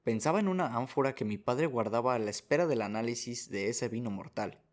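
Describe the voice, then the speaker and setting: A man's voice: medium pitch, lively intonation, fairly quick. A man reading scripted first-person story narration as the narrator of a radio drama.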